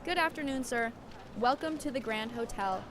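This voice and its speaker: A woman's voice: a little high, moderate pace, noisy background, natural voice. A woman playing a polite, patient hotel receptionist with formal service manners in a scripted radio drama. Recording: busy crowd ambience behind the voice.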